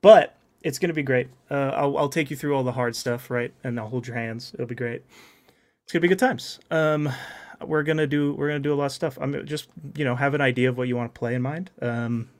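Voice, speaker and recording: A man's voice: mid-range voice, brisk, darkish tone. A man chatting casually in an upbeat, enthusiastic way, like a host talking with friends. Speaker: podcast host and game master. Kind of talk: casual chat.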